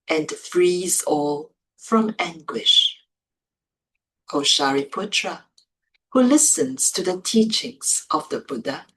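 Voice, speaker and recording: A woman reads scripture in a low-pitched voice. Her pace is extremely slow and measured, and she sets out each short phrase deliberately, leaving pauses between them. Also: rough recording. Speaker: Buddhist monastic, reader. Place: office.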